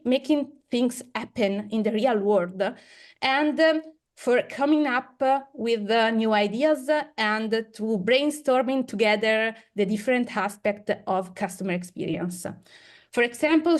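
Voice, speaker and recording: Slow, hesitant woman on a video call. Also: medium pitch. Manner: slow, hesitant, many fillers. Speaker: research director, guest. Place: office room with windows.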